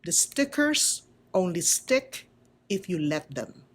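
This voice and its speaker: A woman voicing a kindly, loving male wood carver in a children's storybook, speaking slowly, strongly and with emphasis. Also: on the low side, sing-song, heavily acted. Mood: tender, reassuring. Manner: slow, deliberate, tender.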